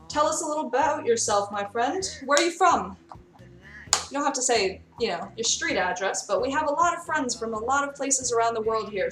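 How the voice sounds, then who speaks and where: A woman's voice: fairly high pitch, distant mic. A young woman chatting with her chat as she cooks on a live stream in a friend's kitchen. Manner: chatty, reads out and answers chat. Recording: roomy, off-mic, with faint music. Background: faint music.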